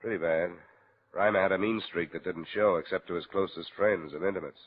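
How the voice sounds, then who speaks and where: An actor playing a tough, weary investigator in an old radio detective drama, with clipped, blunt delivery. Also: male voice, low voice, steady tone, fairly quick. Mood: guarded, wry. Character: tough, weary male insurance investigator, hard-boiled and loyal. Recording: thin, tinny vintage radio recording.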